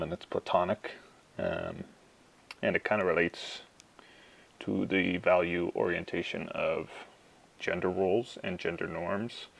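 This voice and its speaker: A young man with a Canadian accent giving an informal class presentation, speaking in halting, thoughtful phrases. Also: deep voice, creaky, breathy edge, poor audio. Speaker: university student.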